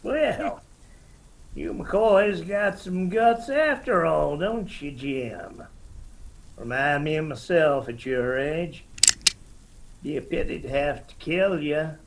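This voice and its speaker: An actor playing a wryly menacing older mountain uncle in a radio drama. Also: male voice, fairly high pitch, slow pace, vocal fry, a little hiss or hum. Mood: wry menace.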